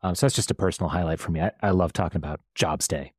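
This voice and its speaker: A man's voice: sits low, rapid-fire, no room sound, studio quality. A man co-hosting a news podcast, eager and talkative as he sets up clips. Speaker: podcast co-host. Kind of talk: casual podcast chat.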